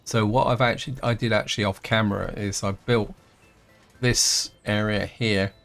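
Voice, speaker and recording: A man, croaky from a throat infection, casually thinking aloud as he plays through a strategy game, with lots of fillers and restarts. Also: fairly low pitch, fairly even intonation, normal speed, fairly bright tone, soft background music. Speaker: gameplay host. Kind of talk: casual let's-play commentary.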